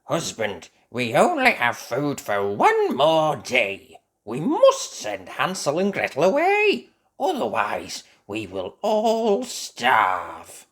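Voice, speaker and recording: A storyteller voicing a cruel, selfish, bossy stepmother in a children's story, with a husky drawl. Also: mid-range voice, wildly swooping pitch, slow pace, fairly bright tone, exaggerated voice. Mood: scheming.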